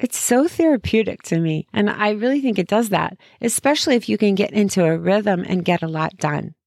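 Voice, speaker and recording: Podcast host, female, chatty and encouraging, voice going hoarse, gravelly edges, dry close mic. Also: mid-range voice, expressive, brisk, a little mellow, no echo. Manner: chatty and encouraging, friendly asides. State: losing her voice. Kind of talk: casual solo podcast giving tips.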